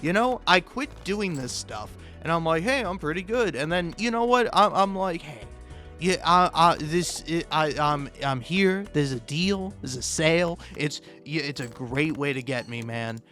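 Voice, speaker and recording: A man with a slightly high voice rambles through a casual comic monologue over faint music. He swears freely and trips over his words, repeating bits and breaking off mid-sentence to start again. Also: lively intonation, sharp tone. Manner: profane, halting, self-interrupting with stammered repeats; chuckles often while talking. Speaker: host of a comedic video.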